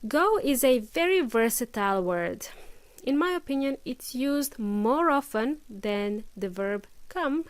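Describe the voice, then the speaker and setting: A woman's voice: a little high, dry recording. A woman hosting an English lesson podcast, explaining patiently with plenty of examples and the odd filler. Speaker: English teacher, podcast host. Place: lives in Melbourne.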